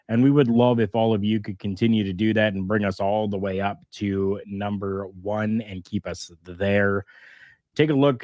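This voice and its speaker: A man hosting a podcast in a slow, unhurried way. His voice sits fairly low and sounds close and dry. He talks easily, with fillers and restarts. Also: darkish tone, no echo. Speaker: podcast host. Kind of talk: casual wrestling podcast chat.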